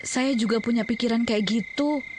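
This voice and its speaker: A gentle wife played by a woman talks in a polite, caring manner. Her voice sits somewhat high, in a natural drama style, with faint insects and frogs behind her. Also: normal speed, background noise, lightly acted. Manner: polite, deferential.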